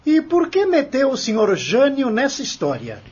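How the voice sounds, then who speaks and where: A stern, formal lawyer cross-examines in an audio drama, played by a man. His voice is high for a man and very lively, with pointed, accusatory phrasing. The sound is somewhat muffled and lo-fi. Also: normal speed, breathy edge, heavily acted. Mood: accusing. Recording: dull lo-fi audio.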